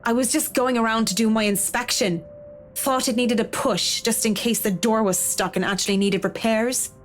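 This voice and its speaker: A woman's voice: fairly high pitch, fairly even intonation, moderate pace, natural voice. A woman playing a frank, practical young professional in a visual novel game, dry, blunt and informal, with music behind her. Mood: wry, polite.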